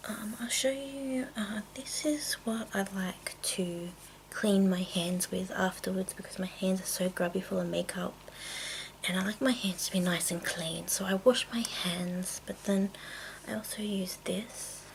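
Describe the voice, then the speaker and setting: A woman speaking in a hushed, breathy whisper, casually rambling through products in an unhurried ASMR style. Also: medium pitch, fairly even intonation, slight background noise, low-quality recording. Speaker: ASMR video maker.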